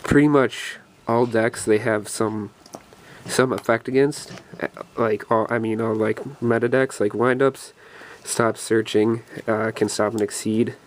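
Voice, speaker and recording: A man's voice: on the low side, fairly even intonation, measured pace, slight background noise. A young man with a cold, sounding congested and short of breath, rambling through a card deck walkthrough with many ums and restarts. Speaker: deck profile video host. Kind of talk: casual game deck walkthrough.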